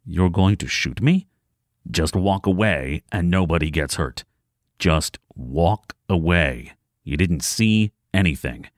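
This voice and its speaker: A man voicing a nervous, threatening male gunman in an audiobook, with a low, steady voice and terse, clipped lines. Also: takes it slowly, no echo, studio quality. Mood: tense, threatening.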